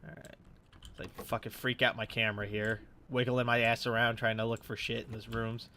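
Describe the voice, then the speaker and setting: Man, bright forceful voice, slightly congested, casual gaming commentary, rambling, swearing, thinking out loud. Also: medium pitch, flat delivery, a little fast, slight background noise. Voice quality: slightly stuffed-up nose. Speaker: gaming YouTuber. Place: his room at home.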